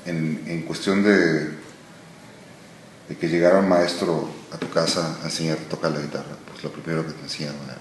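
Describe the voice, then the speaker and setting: A very low-voiced man in his sixties from Argentina, speaking in an unhurried, reflective way with frequent pauses. His words ring in a roomy space over a clear layer of background noise. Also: level tone. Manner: slow, thoughtful, trailing off with many pauses. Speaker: musician guest. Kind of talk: relaxed interview answers. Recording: echoey room with noise.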